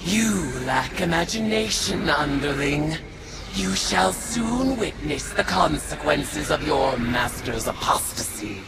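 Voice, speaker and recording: A woman's voice: low voice, animated, slow pace, vocal fry, full character voice. An actress voicing a solemn, imperious Hive witch god of vengeance in a video game, declaiming in archaic, ceremonial phrases. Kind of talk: dramatic video game voice acting. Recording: loud music over the voice.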